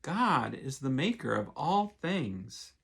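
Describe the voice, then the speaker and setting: A patient mother bird in a storybook, voiced by a man reading aloud. She gently teaches her child, with lively intonation and a slightly husky tone. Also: medium pitch, slow pace, voice pushed down, full character voice. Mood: reverent. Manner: patient, instructive.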